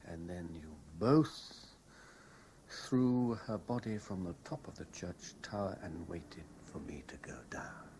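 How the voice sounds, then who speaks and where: An actor playing an obsessed, lovelorn British man in a 1970s radio drama, speaking with intense, deliberate theatrical delivery. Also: low voice, a little mellow. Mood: grim, accusing. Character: obsessed lovelorn man piecing together a betrayal. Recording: noisy old radio broadcast recording.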